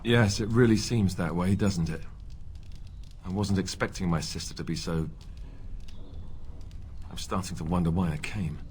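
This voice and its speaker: Guy doing a soft-hearted young prince in a game, lowish voice, hesitant, noisy background. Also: normal speed, long pauses, close to an everyday voice. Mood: hurt, dejected. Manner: hesitant, sincere. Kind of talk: video game voice acting.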